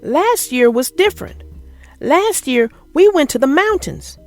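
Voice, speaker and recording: Woman doing a kids book read aloud, high voice, super animated and theatrical. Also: unhurried, soft background music. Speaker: children's storybook narrator.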